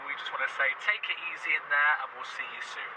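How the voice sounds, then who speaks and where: A man's voice: fairly high pitch, fast talker, natural voice. An actor playing a bashful, tender young father-to-be speaking to a camera in a home video scene. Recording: distant, dull, noisy home video.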